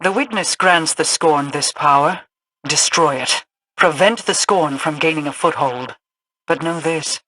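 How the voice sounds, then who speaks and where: Ominous game leader, higher forceful voice, stern, portentous, imperious. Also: male voice, a little slow, slight background noise, rough recording. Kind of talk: scripted video game voice acting.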